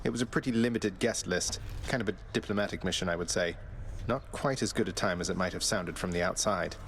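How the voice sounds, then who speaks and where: Deep-voiced wry underworld prince. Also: male voice, a little fast, fairly bright tone. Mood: wry, polite. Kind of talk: video game character dialogue.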